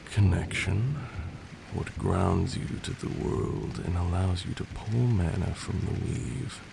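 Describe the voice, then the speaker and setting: A man playing a patient, stern vampire lord who teaches magic in a measured, formal ASMR roleplay. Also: low-pitched, gravelly, noisy background, heavily acted. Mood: solemn, instructive.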